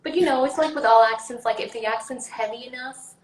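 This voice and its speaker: A woman's voice: on the high side, fairly quick, reverberant, rough recording. A young adult woman from Sweden with a Swedish accent, chatting casually as an interview guest, rambling with many ums and likes. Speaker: actress guest.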